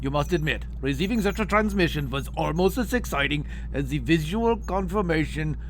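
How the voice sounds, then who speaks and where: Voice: medium pitch, lively intonation, moderate pace, slight background noise, natural voice. An actor playing a jolly, eager German scientist in a comic sci-fi audio drama, with theatrical, jovial delivery. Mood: earnest. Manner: theatrical, jovial, mangled English phrasing.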